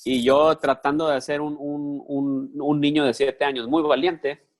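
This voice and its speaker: A man's voice: fairly high pitch, level tone, a little slow. A man working as a live interpreter for a conference speaker, talking conversationally with repeated words and restarts.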